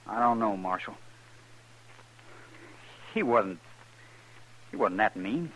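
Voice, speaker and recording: An actor playing a troubled, defensive young drifter in a 1950s radio western, halting and plain-spoken with a rural American drawl. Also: male voice, on the low side, animated, a bit bright, full character voice, low-quality recording. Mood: doubtful, hurt.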